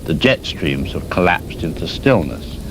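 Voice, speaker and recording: Man playing grave male scientist, extremely low voice, measured resigned report, apocalyptic audio drama, noisy storm-like background. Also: big pitch swings. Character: grave male climate scientist delivering his final report. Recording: noisy broadcast with storm ambience.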